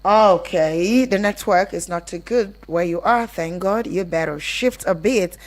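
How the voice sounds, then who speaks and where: A woman's voice: on the low side, a little slow. A woman with a Nigerian accent, hosting a live radio phone-in show.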